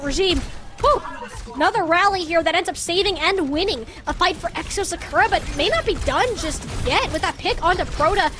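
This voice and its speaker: A woman doing live esports commentary as a caster, excitable and colloquial, with playful interjections. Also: very high voice.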